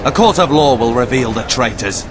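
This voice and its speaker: An actor playing a stern, commanding ruler with a threatening manner in a fantasy game cutscene. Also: male voice, mid-range voice, expressive, moderate pace, heavy background noise, natural voice. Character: stern, commanding male ruler ordering arrests. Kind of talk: dramatic video game cutscene acting.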